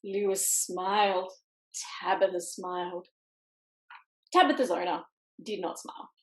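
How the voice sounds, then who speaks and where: A woman reading a picture book aloud to children over a video call, expressively, with dramatic pauses and little asides. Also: on the low side, fairly even intonation. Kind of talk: animated children's storytime reading with quiet asides. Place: indoors, online video call in an echoey room. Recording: roomy echo on video call.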